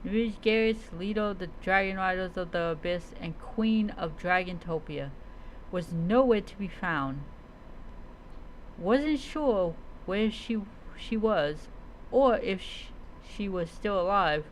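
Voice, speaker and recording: A woman's voice: mid-range voice, slow, slight background noise, close to an everyday voice, rough recording. A woman voicing a solemn old male dragon protector who takes human form, telling his tale dramatically in a fantasy roleplay. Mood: solemn, determined.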